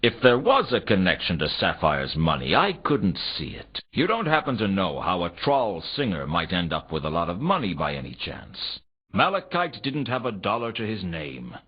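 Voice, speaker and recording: An actor playing a world-weary, wry noir detective, narrating a game in a deadpan, sardonic way. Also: male voice, low-pitched, moderate pace, breathy edge, heavily acted. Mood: dry, suspicious. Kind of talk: scripted first-person noir game narration. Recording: dull lo-fi game audio.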